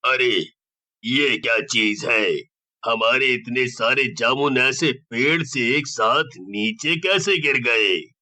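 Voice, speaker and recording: A menacing ghost from a cartoon horror story. He draws his threats out slowly and angrily, with a bright, forceful edge. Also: mid-range voice. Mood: startled, suspicious. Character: menacing angry male ghost guarding his fruit tree.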